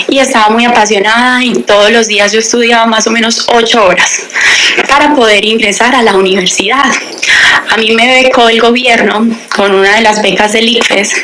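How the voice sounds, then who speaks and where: A woman's voice: a little high, a little hiss or hum, poor audio. A young woman, a language teacher giving a radio interview and candidly telling her story. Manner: lively, candid storytelling, acts out quoted dialogue.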